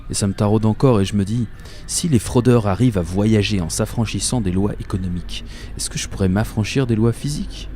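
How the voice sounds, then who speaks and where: A deep-voiced actor as a dreamy commuter tells his own radio story. His mellow voice is wry and confiding, full of colloquial turns. A faint layer of ambient noise sits behind him. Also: brisk, close to an everyday voice. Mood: wry, musing. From Paris, France. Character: dreamy, obsessive commuter, wry and self-mocking. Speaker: narrator and protagonist. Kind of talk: first-person radio fiction monologue.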